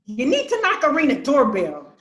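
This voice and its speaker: A brash, defensive woman in a family stage drama , acted by a woman. Her voice is high and swings widely as she sasses and snaps in slang. It is recorded in an echoey room. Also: huge pitch swings, normal speed, fairly bright tone, exaggerated voice. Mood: defensive, combative. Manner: sassy, combative, slangy. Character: brash, defensive, resentful grown sister from Detroit, quick to snap. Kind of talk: dramatic play reading.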